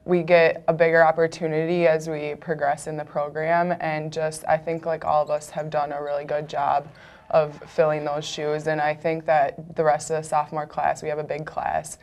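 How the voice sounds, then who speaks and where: A woman's voice: deep voice, completely flat delivery, normal speed, darkish tone. A young woman college basketball player answering interview questions casually, with lots of "like" and "just" and a trailing "so". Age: around 20. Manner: uses fillers like "like" and "just", trails off with "so". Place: small TV studio, indoors.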